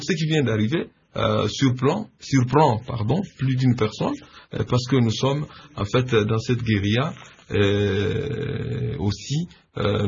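A man answering radio interview questions, hesitant and measured with many fillers. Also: on the low side, vocal fry, darkish tone, a little hiss or hum. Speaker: civil society leader, interviewed guest. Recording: dull lo-fi radio line.

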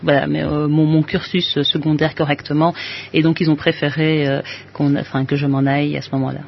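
A woman from Kinshasa answering questions as a radio interview guest, measured and agreeable, with frequent hesitations and restarts. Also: low-pitched, little pitch movement, gravelly, a little hiss or hum. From DR Congo, Kinshasa. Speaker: guest, physics and maths teacher. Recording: muffled radio broadcast.

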